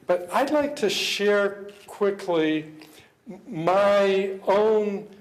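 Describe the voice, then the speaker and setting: An older man giving a public speech to an interfaith gathering. He talks earnestly and haltingly, often repeating words as he searches for the next phrase. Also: fairly high pitch, low-quality recording. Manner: earnest, measured. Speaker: government official speaking at an interfaith event. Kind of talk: public speech with prepared remarks.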